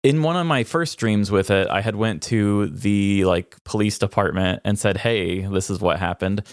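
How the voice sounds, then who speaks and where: A man's voice: fairly low pitch, moderate pace, no room sound, studio quality. A man co-hosting a podcast, telling personal stories in a casual, conversational way and acting out bits of dialogue. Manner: conversational, anecdotal, quotes people in dialogue. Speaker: podcast co-host. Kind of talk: casual storytelling chat.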